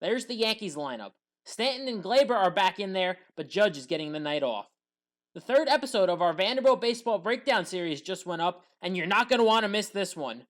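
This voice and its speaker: A woman narrating scripted sports highlights play by play as a commentator, leaning hard on the big moments. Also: lively intonation, brisk.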